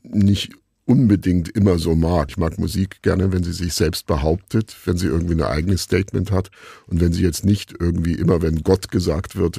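A man's voice: sits low, gravelly, dark tone, slightly rough, no room sound, clean studio sound. A man being interviewed as a radio play maker, thinking aloud in a reflective, discursive way.

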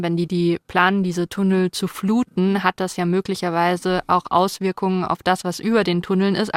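A woman's voice: lowish voice, smooth, dry recording, studio quality. A woman hosting a podcast, asking questions in a conversational, informal way. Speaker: podcast host interviewing. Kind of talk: conversational interview, informal address.

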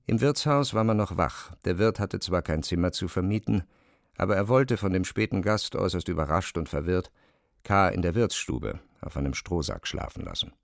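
A man's voice: low voice, expressive, moderate pace, vocal fry, no echo, clean studio sound. A man narrating a scripted literary radio drama as its storyteller. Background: faint music.

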